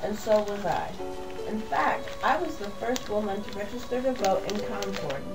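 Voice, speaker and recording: A teenage girl voicing an elderly woman author who calmly tells her life story in a school doll play. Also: medium pitch, normal speed, recorded from a distance, over music, close to an everyday voice, low-quality recording. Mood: proud. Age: teens. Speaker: student.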